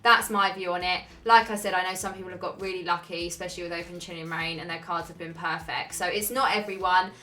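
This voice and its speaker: A woman's voice: fairly low pitch, steady tone, fast, hardly any pauses, pushed and bright. A young woman answering viewer questions in a casual, chatty way, with frequent "um"s and a laid-back delivery. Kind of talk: casual Q&A chat.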